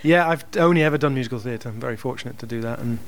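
Musical theatre actor, northern English, gravelly voice, measured delivery. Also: male voice, mid-range voice, a crowd in the background. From Lancashire, England. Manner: measured, thoughtful answers. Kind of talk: casual backstage interview.

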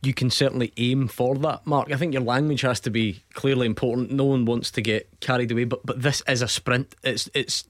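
A man's voice: medium pitch, fairly even intonation, fairly quick, few pauses, no room sound. A Scottish man hosting a radio football phone-in, chatty with dry teasing banter, on a close studio mic. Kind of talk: sports phone-in chat.

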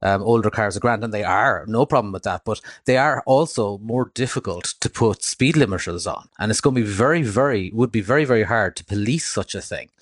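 A man from rural Cork with an Irish accent, making measured, reasoned points as an expert guest in a live radio discussion. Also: on the low side, no echo, studio quality. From rural County Cork, Ireland. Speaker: motoring editor, interview guest.

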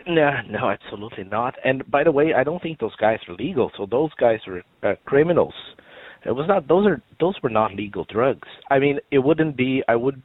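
A man's voice: medium pitch, expressive, normal speed, slightly rough, no echo. A man with a Brazilian accent talks earnestly and with emphasis, in a medium voice. He is heard through a narrow, phone-like line. There is no room sound around him. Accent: Brazilian Portuguese. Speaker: caller. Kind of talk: radio phone-in chat.

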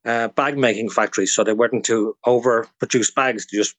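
A man's voice: mid-range voice, fairly even intonation, brisk, a bit bright, no echo. An Irish man speaking as an expert guest, telling history informally in an interview. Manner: informative, storytelling. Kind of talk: informal explanatory interview talk.

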